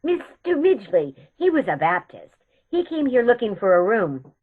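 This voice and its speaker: A woman's voice: on the high side, big pitch swings, normal speed, slightly rough. Woman acting prim, lilting elderly aunt, muffled recording. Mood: wistful, gentle. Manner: prim, gently chiding, unruffled. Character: genteel elderly aunt, serenely matter-of-fact and scolding. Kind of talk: stage comedy radio theatre acting.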